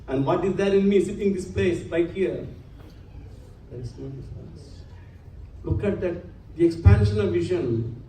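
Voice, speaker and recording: A man's voice: sits high, warm tone. A man giving an earnest religious lecture to a congregation, using many Sanskrit terms. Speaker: spiritual lecturer. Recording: echoey hall, distant mic, faint hum.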